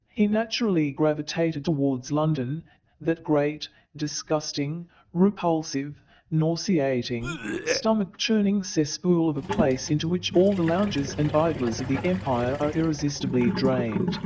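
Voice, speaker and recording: A man narrating a scripted story as in a staged radio play, with dramatic, deliberate phrasing. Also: a little high, warm tone, dull audio. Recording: crowd sound behind the voice.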